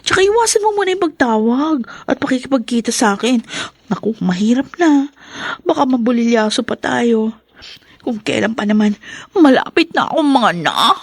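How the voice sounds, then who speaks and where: A giggling, wheedling young mistress, played by a woman in a radio drama, scheming behind a sweet voice pitched a little high. The recording is dry and close. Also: sing-song, normal speed, husky, dry recording, exaggerated voice. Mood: conspiring. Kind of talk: Filipino radio drama acting.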